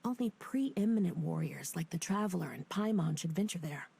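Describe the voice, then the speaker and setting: Wry, low-voiced young officer. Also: female voice, lively intonation, gravelly, slight background noise. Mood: respectful. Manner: brisk, respectful, wry. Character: loyal, joking young female military officer. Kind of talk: game cutscene voice acting. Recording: game audio with loud music.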